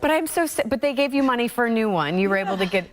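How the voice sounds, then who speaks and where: A woman's voice: fairly high pitch, expressive, rapid-fire, a crowd in the background. A woman co-hosting a morning TV show, gushing and enthusiastic as she chats with a guest. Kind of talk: upbeat TV chat. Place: TV studio.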